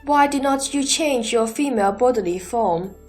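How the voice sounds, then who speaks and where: A woman plays an earnest holy disciple in a sutra audio drama, with a fairly high voice. The delivery is formal and measured, probing like a student in debate. Music runs underneath. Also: close to an everyday voice. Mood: questioning.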